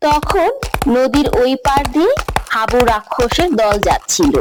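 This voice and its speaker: A woman narrates a children's cartoon tale in a very high voice. Her delivery is lively in pitch, with music playing behind. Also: unhurried. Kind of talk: scripted children's story narration.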